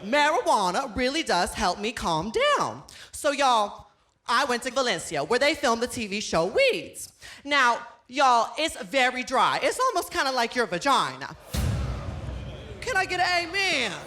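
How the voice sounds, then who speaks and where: A man's voice: very high voice, very animated, a little slow, sharp tone, slight background noise. A drag queen comedian with a Texan accent, sassy stand-up on stage with a handheld mic. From Dallas, Texas. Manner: sassy, addresses crowd as y'all. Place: theater stage with live audience.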